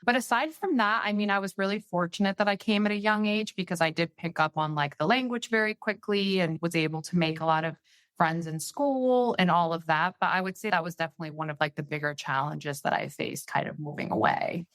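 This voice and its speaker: A woman with a low-pitched voice, from Peru and a native Spanish speaker, talks as a podcast guest. She chats freely, leaning on "like" between thoughts, and the sound is close and dry. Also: a little fast, a bit bright, no echo. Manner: chatty, peppered with "like" fillers. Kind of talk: casual interview chat.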